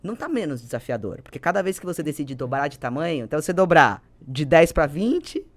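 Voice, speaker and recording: A woman's voice: deep voice, sing-song, fairly quick, no room sound. A woman with a Brazilian accent speaking as an executive guest, explaining ideas conversationally and emphatically, with repetition and quoted phrases. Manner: emphatic, builds points with repetition and quoted speech. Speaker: podcast guest, business executive and mentor.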